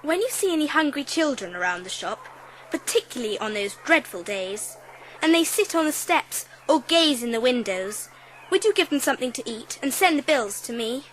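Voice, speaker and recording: A woman's voice: high voice, very animated, moderate pace, a bit bright, a little hiss or hum, rough recording. A woman playing a kind, earnest, well-bred little girl in a dramatised storybook, polite and precise. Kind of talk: dramatised audiobook acting.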